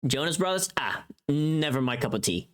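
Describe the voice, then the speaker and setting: A woman chatting casually to viewers on a livestream, talking in a scattered, expressive and self-deprecating way. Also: low voice, moderate pace.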